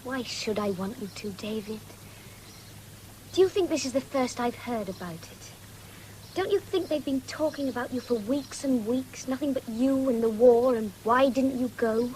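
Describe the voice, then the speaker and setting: A woman's voice: fairly high pitch, normal speed, a little mellow, lightly acted, low-quality recording. A woman playing a frank, wistful young farmer's daughter in a radio drama. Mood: frank, reproachful. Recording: 1970s radio broadcast with background noise.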